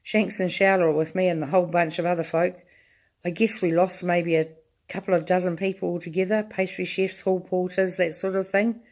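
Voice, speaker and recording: A woman's voice: fairly low pitch, steady tone, a little fast, darkish tone, lightly acted. Lady playing a folksy astronaut guy, eager, chatty on the radio.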